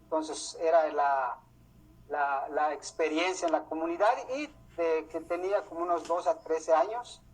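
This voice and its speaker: Man, middle-aged, Mam speaker, husky, halting with many fillers, radio recording. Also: a little high. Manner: hesitant, many fillers and restarts. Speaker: author presenting his book. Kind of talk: unscripted talk. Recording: muffled radio broadcast sound.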